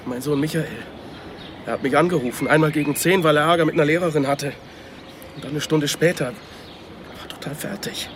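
Over clearly audible ambient noise, a fairly high male voice plays an agitated middle-aged father acted by a man in a radio drama. He is urgent and exasperated. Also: brisk, long pauses, close to an everyday voice. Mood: alarmed. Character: agitated, impatient estranged husband and father, a businessman. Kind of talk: German radio crime drama acting.

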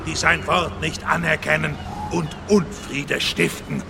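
A man's voice: fairly high pitch, fairly even intonation, measured pace, creaky, noisy background. A man playing a fanatical preacher, solemn and declamatory, in a German radio drama. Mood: solemn zeal. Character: fanatical armed preacher proclaiming apocalyptic scripture.

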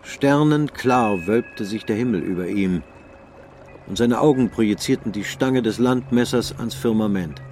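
A man narrating a radio drama in a measured, sober way. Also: lowish voice, darkish tone, a little hiss or hum.

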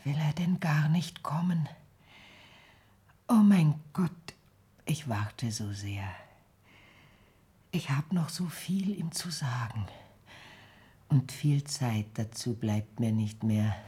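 An actress playing a dying old peasant mother in a radio drama, anxious and plaintive, with a weak, breathy, faltering voice. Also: a bass voice, expressive, slow, long pauses, mellow, exaggerated voice. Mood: anxious longing. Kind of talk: theatrical radio drama acting.

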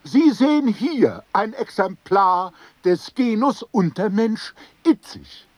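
Sadistic gang leader, high swooping voice, slow mock-polite lecturing, taunting, German radio play. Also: male voice, big pitch swings, no room sound, full character voice. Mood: gleefully contemptuous lecturing. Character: jeering, sadistic antisemitic bully, leader of a rowdy men's group.

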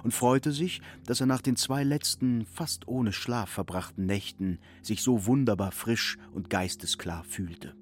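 A man's voice: on the low side, unhurried, hardly any pauses, a little hiss or hum. A man narrating a literary audio drama from a script. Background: music.

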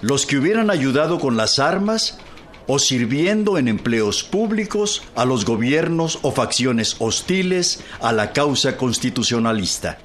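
A man's voice: mid-range voice, sing-song, measured pace, a bit bright. A man with a Mexican accent formally reading old newspaper reports in a radio docudrama. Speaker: newspaper reader. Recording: clear background noise.

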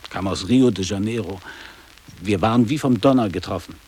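A man's voice: fairly low pitch, moderate pace, darkish tone, breathy edge. An actor playing a nostalgic man in his fifties in a radio play, declaiming literary reminiscences in clipped phrases. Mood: reminiscing. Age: 50s. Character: nostalgic man around fifty revisiting his old boarding school.

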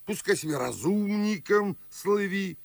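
An actor playing a pompous, garrulous older Moscow nobleman, declaiming husky-voiced in old-fashioned theatrical verse for a radio play. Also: sits high, expressive, measured pace, dry recording, heavily acted. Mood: boastful.

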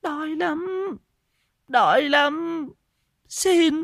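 A man's voice: extremely high pitch, extremely slow, vocal fry, fairly bright tone, no room sound, clean studio sound, pitched above the performer's own voice, full character voice. A man voicing a simple-minded, starving young woman who wails and begs. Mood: begging. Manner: wailing, pleading. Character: mad young homeless woman, simple, whimpering.

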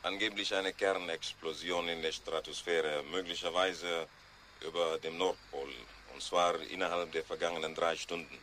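A grave newsreader in a radio play. His very low voice has a bright, forceful edge, yet he reads haltingly over faint noise. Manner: hesitant, halting. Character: grave, hesitant radio newsreader with urgent news. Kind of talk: radio drama news bulletin.

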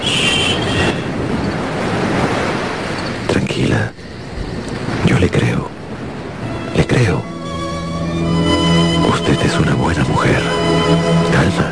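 An actor playing a worldly, soothing seducer in a radio drama, speaking in a measured, theatrical, musing way. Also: male voice, very deep voice, huge pitch swings, exaggerated voice. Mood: soothing, tender. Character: worldly, soothing male seducer, bored yet tender, musing. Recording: loud music and sound effects behind.

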